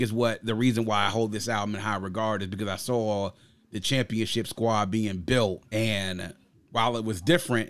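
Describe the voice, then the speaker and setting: A man's voice: medium pitch, fairly bright tone, clean tone. A man with a mid-range voice hosts a music discussion. He talks reflectively and conversationally, laying out his opinions in long, explanatory stretches. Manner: reflective, explains through extended analogies. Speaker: host of an album review discussion.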